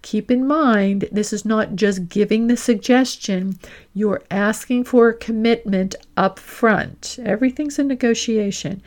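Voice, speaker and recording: A woman's voice: medium pitch, a little slow, a little mellow. A woman working as a career coach and host, explaining directly to the listener in an emphatic, instructional way, with role-played examples.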